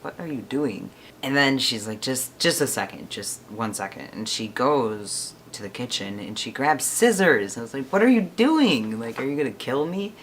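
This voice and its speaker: A man's voice: mid-range voice, fairly bright tone, slight background noise. A man in his twenties chatting casually to his camera, rambling and laughing often. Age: 20s. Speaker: vlogger.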